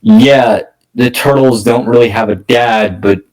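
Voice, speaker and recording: A man with a mid-pitched voice hosts a casual film discussion. He speaks in a halting, conversational way, often doubling back and repeating words while he looks for the right thought. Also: fairly even intonation, darkish tone, low-quality recording. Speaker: podcast host.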